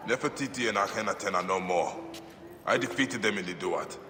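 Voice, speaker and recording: An actor playing a grave, terse warrior hero in a video game. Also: male voice, lowish voice, a little fast, pushed and bright, slight background noise. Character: grave adult male warrior, stern and weary. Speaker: protagonist.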